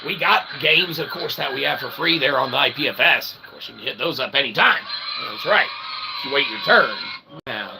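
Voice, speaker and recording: A man's voice: medium pitch, lively intonation, brisk, creaky, background noise. A man hosting a rambling comedic livestream in a self-deprecating mock-showman manner, often tagging lines with questioning little catchphrases. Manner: repeats tag phrases like "okay?" and "eh?". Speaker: livestream host.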